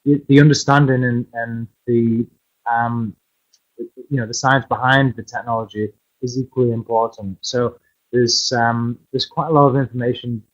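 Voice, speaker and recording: Loud-voiced man presenting a course online. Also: medium pitch, steady tone, normal speed, lo-fi sound. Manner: hesitant, many fillers and restarts. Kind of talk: live online course introduction.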